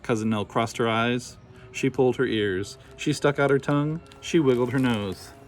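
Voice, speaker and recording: A man's voice: medium pitch, moderate pace, soft background music. A man reading a scripted children's story aloud as narrator. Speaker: storybook reader.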